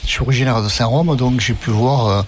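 A man with a middle-range voice answers interview questions as a local resident. He is relaxed and fills the gaps between phrases with hesitations, over faint background ambience. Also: mid-range voice, brisk, a little hiss or hum.

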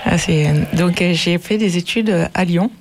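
A woman's voice: fairly low pitch, fairly even intonation, moderate pace, slight background noise. A woman in her fifties from northern France, a sheep farmer interviewed on radio, answering hesitantly with many fillers. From northern France, near Lille.

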